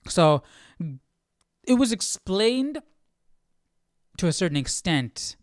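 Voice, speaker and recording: A man's voice: high-pitched, big pitch swings, takes it slowly, no room sound, clean studio sound, faint music behind. A man hosting a livestream Bible study, talking informally and thinking aloud. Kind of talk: casual livestream chat and study commentary.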